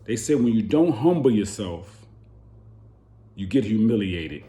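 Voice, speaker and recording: Hoarse man ranting about boxing. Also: on the low side, animated, measured pace, mellow. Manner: heated, repeating rhetorical questions. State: hoarse from yelling. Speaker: boxing channel host. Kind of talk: animated boxing commentary rant.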